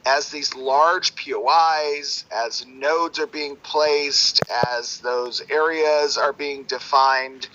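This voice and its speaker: A man presenting game design on a livestream, his voice raspy and tired, rambling with many fillers. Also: medium pitch, steady tone, takes it slowly, hardly any pauses, noisy background. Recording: dull sound with faint music.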